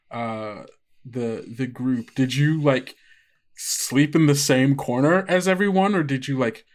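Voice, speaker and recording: A man running a tabletop game as game master, narrating in a halting way full of fillers. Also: medium pitch.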